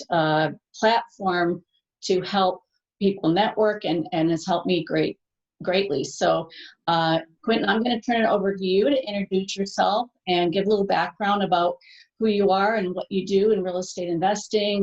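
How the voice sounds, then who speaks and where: A woman hosting an interview in an informal, halting, conversational way, on a slightly dull recording. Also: medium pitch, darkish tone. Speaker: interview host. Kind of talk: informal guest introduction.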